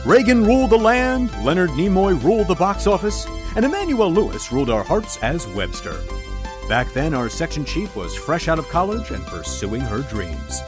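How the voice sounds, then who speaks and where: Theatrical, animated, gossipy agent. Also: male voice, on the high side, moderate pace, over music. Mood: nostalgic, theatrical. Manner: theatrical, wry. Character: dramatic, gossipy, fussy male agent and storyteller. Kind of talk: audio comedy drama acting.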